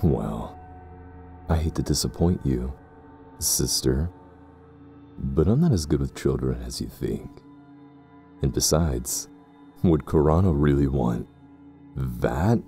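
Sinister pompous zealot, extremely deep dark voice, formal condescending delivery, audio drama villain, music behind. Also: male voice, expressive, gravelly, exaggerated voice. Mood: mocking, condescending. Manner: formal, measured, condescending speech. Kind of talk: audio roleplay drama acting.

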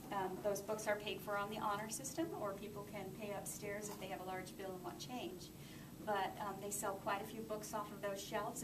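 Middle-aged woman speaking in an echoey room. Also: medium pitch. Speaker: library manager giving a speech. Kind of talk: informal public speech, guided overview. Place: library community room, indoors. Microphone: far camera microphone. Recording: distant camera, echoey room, clear noise.

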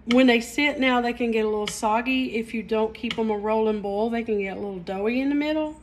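A woman's voice: on the high side, a little fast, slight background noise. A middle-aged woman from the mountains of Southeast Kentucky with an Appalachian accent, chatting folksily while hosting a live cooking stream in her home kitchen. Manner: folksy, chatty, says y'all. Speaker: cooking show host.

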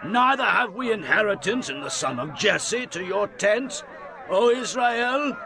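An actor playing an angry rebel leader in a scripture drama, shouting defiantly in drawn-out phrases. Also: male voice, high voice, expressive, vocal fry, a crowd in the background, full character voice.

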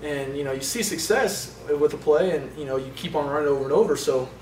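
A young man, a college quarterback at a postgame press conference, answering questions in a halting way with many fillers and restarts. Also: fairly high pitch, a little mellow. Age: young adult. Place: indoor press room in front of a sponsor backdrop. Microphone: table microphones from a short distance. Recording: roomy, distant sound with faint noise.

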